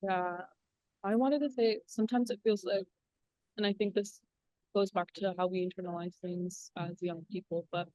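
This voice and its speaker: A woman's voice: mid-range voice, steady tone, moderate pace, dark tone, dry recording, poor audio. A young woman from Alaska speaking on a panel in an earnest, encouraging way, with many fillers. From southwest Alaska. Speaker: panelist, engineer. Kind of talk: casual panel talk.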